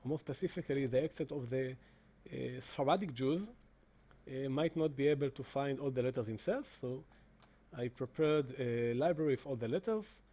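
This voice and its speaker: Guy walking through his software, soft dark voice, lots of uh's and restarts. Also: medium pitch. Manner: halting, many fillers and restarts. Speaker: presenter demonstrating software. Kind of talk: screen-recorded explanation.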